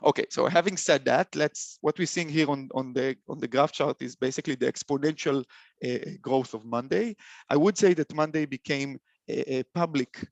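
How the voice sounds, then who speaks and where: A young man with an Israeli accent presenting a webinar, halting speech full of fillers and restarts. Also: medium pitch, creaky, no echo. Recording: dull lo-fi call audio.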